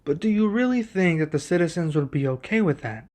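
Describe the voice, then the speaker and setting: A man playing a young king and protective husband in an ASMR roleplay, speaking in a formal, measured, deliberate way. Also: fairly high pitch, lively intonation, a little mellow, natural voice. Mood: pensive, measured.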